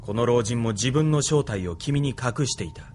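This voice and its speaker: An actor playing a smug, controlled military officer who speaks with mocking politeness in a Japanese audio drama. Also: male voice, medium pitch, lively intonation, normal speed, darkish tone, slight background noise, lightly acted. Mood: smug, composed.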